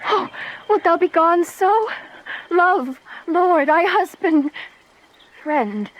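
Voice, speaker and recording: A woman's voice: very high voice, animated, drawn-out words, dull audio, heavily acted. An actress playing a passionate, anguished young noblewoman in a Shakespearean radio drama, speaking verse with fervent, dramatic feeling. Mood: urgent, longing.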